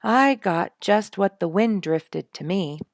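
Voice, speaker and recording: A woman's voice: medium pitch, animated, slow pace, dry recording, studio quality, pitched above the performer's own voice. A woman voicing a rueful, dramatic father in a storybook, weary and emphatic as he recounts his troubles. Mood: resigned.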